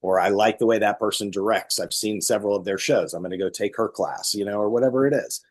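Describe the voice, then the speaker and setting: A man who teaches theater acting, explaining in a conversational, animated and emphatic way during an interview. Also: on the low side, quick pace.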